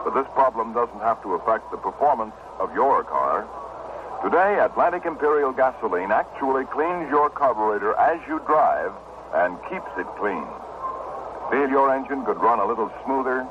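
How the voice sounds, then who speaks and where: Male ad announcer, lively swooping intonation, conversational sales pitch, 1960s style, thin radio sound. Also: normal speed. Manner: conversational pitch with rhetorical questions. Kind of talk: scripted 1960s radio ad narration. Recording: noisy narrow-band 1960 radio broadcast.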